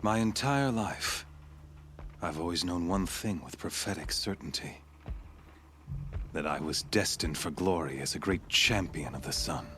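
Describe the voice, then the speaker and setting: An actor playing a menacing, fanatical warrior of a sun cult in a video game, speaking in grandiose, ominous oratory. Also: male voice, deep voice, lively intonation, measured pace, fairly bright tone, a little hiss or hum. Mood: proud, brooding. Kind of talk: dramatic video game acting.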